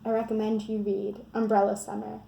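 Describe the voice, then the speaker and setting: A woman's voice: mid-range voice, completely flat delivery, a little fast, vocal fry, mellow. A woman giving a scripted book talk and reading a picture book aloud. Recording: roomy echo, faint background noise.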